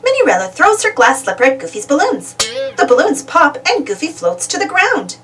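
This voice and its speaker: A woman reads a children's story aloud in a high voice. Her narration swings widely in pitch. The mic sounds a little removed, and music plays behind her. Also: wildly swooping pitch, a little fast, a bit bright, distant mic. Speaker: storybook reader.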